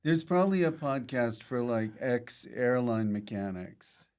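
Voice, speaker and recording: A man hosting a podcast, chatting casually and dropping dry, deadpan jokes into the conversation. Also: mid-range voice, measured pace. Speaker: podcast host. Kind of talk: casual joking interview chat.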